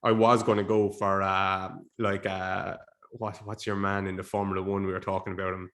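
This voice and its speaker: A man's voice: sits low, little pitch movement, moderate pace, a bit bright. A man in his thirties, a bit sniffly and stuffed up, hosting a casual solo podcast, rambling and correcting himself with the odd laugh. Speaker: podcast host. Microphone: basic podcast microphone.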